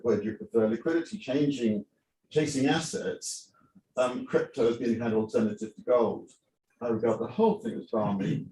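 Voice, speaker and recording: A man's voice: on the low side, warm tone. A blunt man answers from a panel, his words carrying across an echoey conference hall from some distance. He thinks aloud with many ums and dismisses what he dislikes, with a faint hum of ambience behind him.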